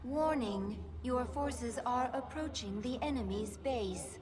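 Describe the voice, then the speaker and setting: An actress voicing a devoted alien advisor to a swarm queen in a video game, solemn, reverent and measured. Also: a little high, a crowd in the background. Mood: solemn warning. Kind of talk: dramatic video game acting.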